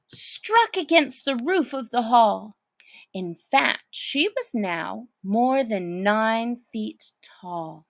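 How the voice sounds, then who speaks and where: A woman narrates a children's story with dramatic care. Her voice is high with some creak, and she takes her time. The recording is dry and close. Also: no room sound. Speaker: storybook reader.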